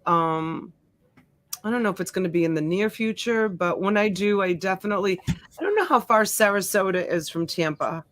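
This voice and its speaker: A woman's voice: medium pitch, lively intonation, moderate pace, no room sound. A middle-aged woman whose first language is Italian, hosting a live-stream auction and chatting casually with viewers, greeting them by name with plenty of fillers. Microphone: USB desk mic.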